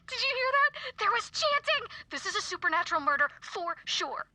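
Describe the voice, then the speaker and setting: A woman voicing an excitable young true-crime podcaster in a video game, with a raspy voice and theatrical, breathless delivery. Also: very high voice, moderate pace, full character voice. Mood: excited. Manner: theatrical, breathless dramatic narration.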